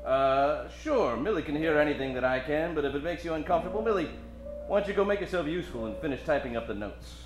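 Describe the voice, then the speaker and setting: A man's voice: fairly high pitch, animated, fast talker, reverberant, music in the background, close to an everyday voice, rough recording. A man playing a wisecracking, hard-drinking private detective with joking hard-boiled patter in a live comedic radio play. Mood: wry.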